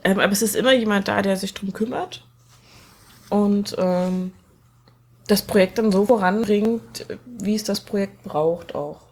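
A woman's voice: medium pitch, unhurried, a little mellow. A woman co-hosting a podcast in a casual group conversation. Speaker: podcast co-host. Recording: clear background noise.

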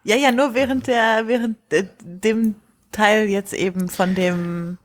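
A woman's voice: medium pitch, measured pace. Female participant, middle-register voice, informal group conversation. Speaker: discussion participant. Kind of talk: casual chat.

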